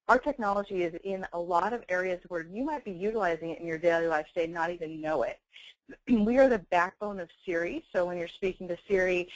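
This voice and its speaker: A woman's voice: on the low side, no room sound, rough recording. A woman giving a company webinar presentation, explaining things in a conversational way.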